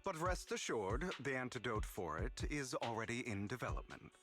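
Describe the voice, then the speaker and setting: An actor playing a sly, proud rebel in a video game, speaking in a theatrical, taunting, deliberate way. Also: male voice, mid-range voice, sing-song, close to an everyday voice. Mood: composed, smug. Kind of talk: dramatic video game voice acting. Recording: music behind the voice.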